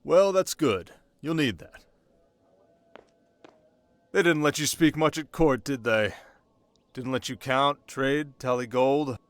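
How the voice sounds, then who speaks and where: Male actor as young desert king: commanding, sharp, teasing, mid-pitched, intimate dry sound, ASMR roleplay. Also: dry recording, natural voice. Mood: approving, scornful. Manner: measured, teasing, regal, with dramatic pauses. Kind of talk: scripted ASMR roleplay addressed to the listener.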